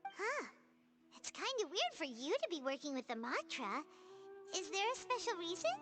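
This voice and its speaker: A woman's voice: very high voice, big pitch swings, normal speed, music in the background. A woman voicing a small, cheeky, childlike fairy companion in a video game, perky and blunt. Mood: teasing.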